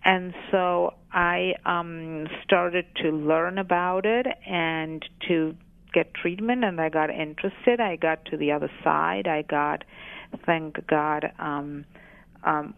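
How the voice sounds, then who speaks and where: A middle-aged woman from Argentina answering interview questions as an expert guest in a halting, thoughtful, self-correcting way, with narrow phone-like radio sound. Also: deep voice, gravelly, no echo. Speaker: guest, psychologist treating eating disorders.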